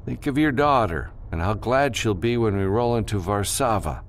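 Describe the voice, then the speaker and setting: A man voicing a gruff, steady spaceship pilot with a terse, wry manner in an audiobook. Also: fairly low pitch, moderate pace, a little hiss or hum, pitched above the performer's own voice. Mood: urgent encouraging. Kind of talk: audiobook character dialogue.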